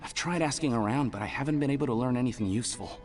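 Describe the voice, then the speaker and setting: A man's voice: medium pitch, rapid-fire, a little mellow, soft background music, close to an everyday voice. An actor voicing a worried male student in a video game, passing on troubling news. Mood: worried, frustrated.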